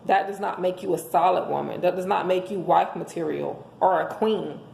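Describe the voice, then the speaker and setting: A hoarse woman giving an emphatic, preachy motivational monologue, speaking as a host handing out relationship advice to listeners. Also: fairly low pitch, normal speed, darkish tone. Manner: emphatic, preachy, rhetorical questions. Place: indoors at home. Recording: echoey room with faint background noise.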